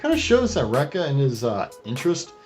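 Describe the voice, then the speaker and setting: A man's voice: medium pitch, wildly swooping pitch, fairly quick, a little mellow. Guy doing a wry anime recap w crude jokey asides, music playing behind him. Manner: sardonic, wry commentary. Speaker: host of an anime retrospective review. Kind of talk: narrated video review with crude joking asides.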